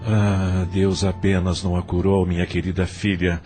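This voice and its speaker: A man's voice: low voice, little pitch movement, normal speed, dark tone, soft background music, close to an everyday voice. An actor playing a delighted, doting middle-aged father in an audio novel. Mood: relieved, tender.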